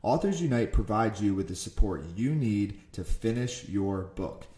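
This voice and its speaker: A man hosting a business podcast, reading an ad and putting prepared questions to his guest in a steady way. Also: on the low side, moderate pace. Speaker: podcast host. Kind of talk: podcast interviewing.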